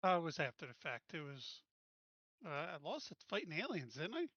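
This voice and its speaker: Male player, higher bright voice, casual and hesitant, dull lo-fi mic. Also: sing-song, brisk, no echo. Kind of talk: playing a character at a tabletop game.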